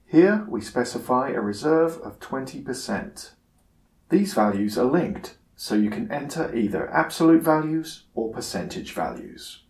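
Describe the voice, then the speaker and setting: A man giving a scripted software tutorial narration in a measured, instructional manner. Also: medium pitch, big pitch swings, roomy sound.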